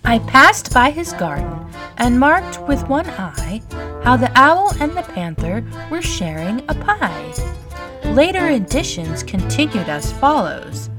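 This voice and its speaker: A woman playing a polite, puzzled, eager young girl with expressive storybook delivery. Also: fairly high pitch. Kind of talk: storybook character acting and recitation.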